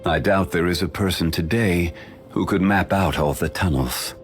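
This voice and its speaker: An actor voicing an ancient, dignified male sage for a game, in formal, measured, unhurried speech. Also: deep voice, faint music behind, lightly acted. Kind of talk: studio game voice lines.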